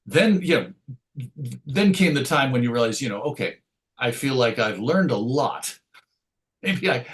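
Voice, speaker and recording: A middle-aged man reminiscing casually as an interview guest, hesitant and rambling, often interrupting himself to restart a thought. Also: mid-range voice. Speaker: interview guest, writer and radio show host.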